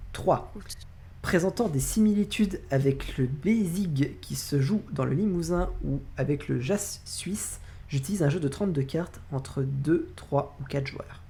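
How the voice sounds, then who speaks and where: A man's voice: fairly high pitch, warm tone, slight background noise. A man with a French accent hosting an online community quiz, reading clues aloud and counting down the points. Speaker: quiz host.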